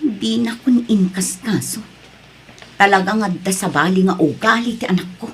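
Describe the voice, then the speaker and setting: A woman's voice: medium pitch, moderate pace, soft background music, natural voice. Worried, strict Filipino mother played by an actress. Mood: suspicious, worried. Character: suspicious, worried, strict middle-aged village mother. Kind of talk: Filipino radio-style family drama acting.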